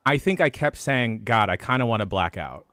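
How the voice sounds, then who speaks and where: A man's voice: mid-range voice, expressive, normal speed, bright, forceful tone. A man on a podcast panel, bantering casually.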